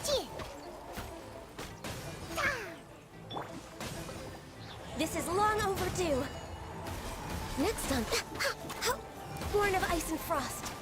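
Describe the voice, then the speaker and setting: Casting: spirited young game heroine, very high, animated combat barks, loud music behind. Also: female voice, very slow, pauses often, full character voice. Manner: short emphatic battle cries. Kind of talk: video game voice acting.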